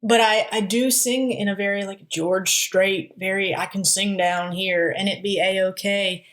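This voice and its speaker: A woman's voice: mid-range voice, steady tone, a little slow, a bit bright. A woman guest in a podcast interview, speaking candidly and laughing easily, with stumbling restarts. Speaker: music therapist guest. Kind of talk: casual interview chat.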